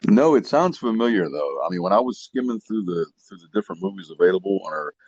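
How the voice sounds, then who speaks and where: A man's voice: on the low side, lively intonation, fast talker, a little mellow, no echo. A man co-hosting a podcast, chiming in agreeably in casual chat over a dull, lo-fi connection. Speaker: podcast co-host.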